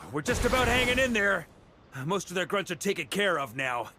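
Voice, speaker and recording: A man voicing a hearty, bold knight commander in a video game, calling out in a booming battle manner. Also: big pitch swings, brisk. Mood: rallying. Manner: booming, shouting orders. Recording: clear battle noise behind.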